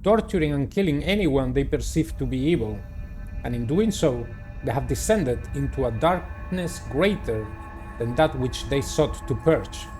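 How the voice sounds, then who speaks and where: A man's voice: medium pitch, animated, moderate pace, music in the background, close to an everyday voice, low-quality recording. A man reading the part of a grave knight investigator reporting formally to his sovereign in a visual novel.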